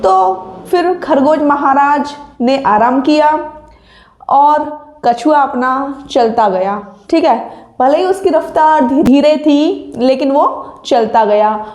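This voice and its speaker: High-pitched, unhurried young woman in an echoey room. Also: a little mellow. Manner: didactic, frequent checking tag questions. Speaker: exam-preparation coach and video host. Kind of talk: informal exam-update talk to viewers.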